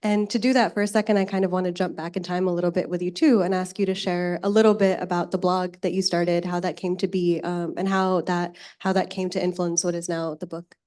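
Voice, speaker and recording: A woman moderating a panel discussion, asking questions in a soft, hesitant way. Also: fairly low pitch, level tone, fairly quick, hardly any pauses. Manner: soft-spoken, hesitant with fillers.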